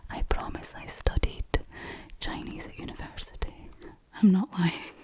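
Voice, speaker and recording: A woman softly whispering a beginner Chinese lesson as a study buddy, pronouncing each word slowly and carefully on a close mic. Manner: soft whisper, slow careful pronunciation. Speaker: language tutor, study buddy.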